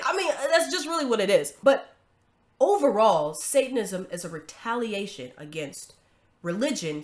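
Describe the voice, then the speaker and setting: Stuffy-nosed woman host chatting. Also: mid-range voice. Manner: chatty, emphatic, tag questions. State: stuffy from a cold. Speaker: commentary video host. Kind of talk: casual commentary to camera.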